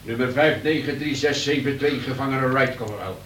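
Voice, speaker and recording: A man's voice: medium pitch, normal speed, fairly bright tone, lightly acted. Casting: male actor for regimented prison guard, clipped commands, heard from far off in an echoey room, radio drama. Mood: stern, clipped. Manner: military, clipped. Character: male prison guard, barking drill-style orders. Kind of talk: Dutch radio play acting. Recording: echoey, distant, noisy radio recording.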